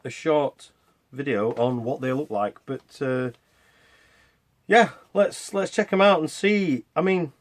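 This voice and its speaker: A man's voice: fairly high pitch, slow pace. A man hosting a game review with casual, rambling, self-deprecating commentary as he plays. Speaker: video game reviewer and channel host.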